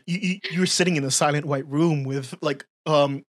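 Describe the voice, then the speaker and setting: A woman's voice: low voice, steady tone, moderate pace. A woman in her twenties with a slight Filipino accent, chatting informally as a podcast co-host and explaining her points emphatically. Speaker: podcast co-host and content creator. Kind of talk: casual podcast chat.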